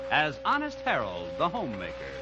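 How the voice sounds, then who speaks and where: A man's voice: medium pitch, wildly swooping pitch, fairly quick, loud music behind the voice. A man announcing and narrating a scripted old-time radio comedy. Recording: muffled old radio broadcast recording.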